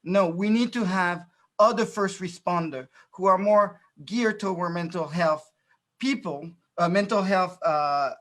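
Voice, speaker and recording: A man speaking as a political candidate at an online forum, earnest and halting, with frequent fillers, on a video call. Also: high-pitched, a bit bright. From France, New York and Dominican Republic.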